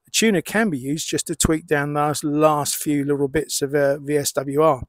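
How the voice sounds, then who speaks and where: A middle-aged man explaining and demonstrating as a hobbyist presenter, in measured short phrases with pauses. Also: on the high side, fairly even intonation, no room sound, studio quality. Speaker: amateur radio hobbyist presenter.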